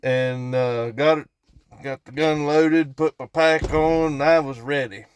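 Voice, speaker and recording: A man's voice: fairly high pitch, fairly even intonation, measured pace, smooth. Folksy Appalachian man telling a story. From West Virginia, United States. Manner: folksy, rambling, repeats for emphasis. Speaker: storytelling vlogger. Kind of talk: casual storytelling to viewers.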